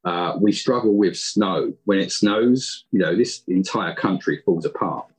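A man's voice: low voice, measured pace, slightly rough, poor audio. A man with a British accent chatting casually as an interview guest. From United Kingdom. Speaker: podcast writer and guest.

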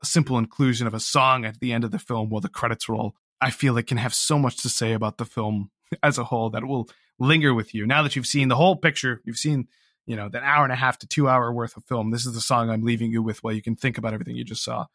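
A man's voice: mid-range voice, fairly even intonation, fast talker, fairly bright tone, no room sound. Earnest man in reflective interview, dry sound. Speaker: interview guest, audio drama writer. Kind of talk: reflective, casual interview talk.

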